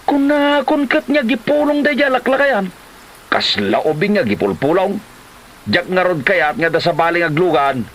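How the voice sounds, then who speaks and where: Casting: man as stingy, bickering Ilocano husband, lively swooping delivery, slightly noisy recording. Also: high voice, normal speed. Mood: stubborn, annoyed. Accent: Ilocano Filipino. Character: stubborn, stingy husband obsessed with his motorcycle. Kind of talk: Ilocano comedy drama acting.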